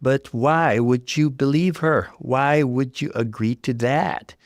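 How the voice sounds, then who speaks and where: A wounded, suspicious young fiancée from a narrated relationship story, acted out by a man. The pitch is lifted above a plain reading voice and the questions are pressed and pleading. The sound is dry and close. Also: slow pace, no echo. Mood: shocked.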